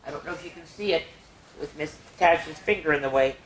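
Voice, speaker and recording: A woman reading a children's science book aloud as a storyteller, explaining to kids with expressive wonder and chatty asides. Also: low-pitched, fast. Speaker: storyteller and show host. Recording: echoey room with faint noise.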